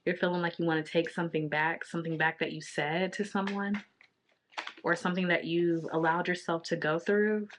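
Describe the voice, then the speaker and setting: A woman in her thirties, a little raspy from long talking, giving a casual tarot reading to the camera from a small home office. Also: on the low side, fairly even intonation, slight background noise. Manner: intuitive, repetitive, drawn-out card naming. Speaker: tarot reader.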